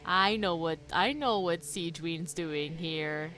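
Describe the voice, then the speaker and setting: A woman's voice: lowish voice, slow. A young adult woman reacting casually to a game as a streamer, informal and exclamatory.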